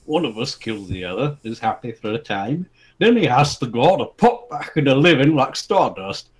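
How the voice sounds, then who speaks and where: A man's voice: mid-range voice, very animated, normal speed, husky. A man voicing crude, belligerent hooligans in a comic story, hurling insults in mangled slang. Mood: aggrieved. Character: two brawling loutish hooligans, crude and belligerent.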